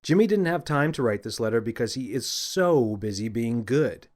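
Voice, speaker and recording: A man's voice: mid-range voice, expressive, moderate pace, darkish tone, close to an everyday voice. Man playing sly boy faking a proud mother, earnest, over-insistent, storybook reading. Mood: falsely earnest. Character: naughty boy posing as his boastful mother. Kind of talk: children's audiobook character reading.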